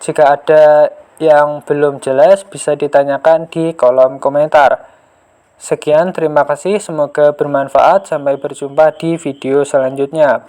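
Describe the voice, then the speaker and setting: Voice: medium pitch, fairly even intonation, measured pace, warm tone. A math tutor narrating a lesson step by step in a methodical, explanatory way.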